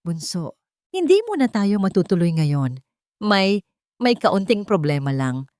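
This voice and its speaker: A tender, burdened elder sister from a storybook drama, acted by a woman narrator. Her voice is lowish and hesitant, recorded dry. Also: big pitch swings, a little slow, darkish tone, dry recording. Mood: tender, sorrowful.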